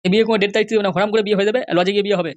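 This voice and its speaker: A man voicing a touchy, scheming middle-aged village politician and father in a comic cartoon, blustering and defensive through an altered, pitched-up voice. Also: little pitch movement, very fast. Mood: touchy, firm.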